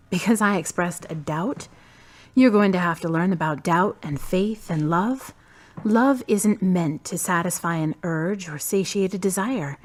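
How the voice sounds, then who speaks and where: An earnest young woman, as a woman acts her out, speaking directly in a mid-pitched voice. She talks plainly and openly, with a caring tone.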